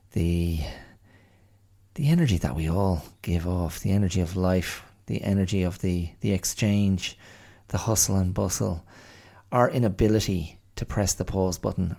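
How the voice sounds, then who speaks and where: A man with a middle-class Dublin accent talks through his thoughts in a wry, musing monologue. His voice sits very low with a gravelly fry, dark and mellow in tone. He takes his time, unhurried and reflective. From Ireland. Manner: musing, wry, thinks aloud. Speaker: podcast host.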